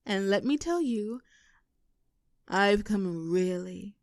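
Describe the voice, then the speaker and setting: Casting: woman to play playful young girlfriend, teasing yet anxious underneath, intimate roleplay audio, mid-pitched, dry close sound. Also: lively intonation, no room sound. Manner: teasing, halting when earnest.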